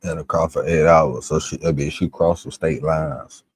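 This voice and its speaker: A man with a rumbling, very low voice and a husky edge talks through his reactions on a live stream. He is loose and profane, thinking out loud between clips. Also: steady tone, moderate pace, no echo. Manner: laid-back, profane, musing aloud. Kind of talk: casual reaction stream commentary.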